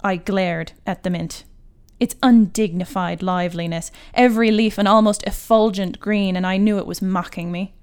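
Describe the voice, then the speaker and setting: A woman writer reading her own literary short story in a measured, articulate and wry manner. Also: medium pitch, steady tone, darkish tone, smooth.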